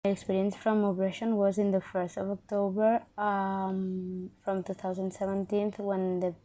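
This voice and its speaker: Woman, halting, monotone delivery. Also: medium pitch. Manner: halting, searching for words. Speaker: interviewee.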